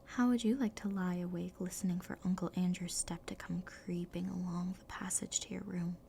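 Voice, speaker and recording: A woman voicing a miserable, wound-up young boy in a soft whisper, reading a storybook aloud. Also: on the low side, steady tone, normal speed, vocal fry, a little hiss or hum.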